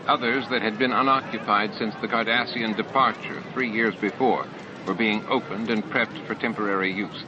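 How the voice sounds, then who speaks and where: A man's voice: fairly low pitch, steady tone, moderate pace, a crowd in the background. A man reading a science fiction novel aloud as an audiobook narrator, with sound effects added behind him. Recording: dull lo-fi tape with clear background noise. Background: added sound effects and ambience.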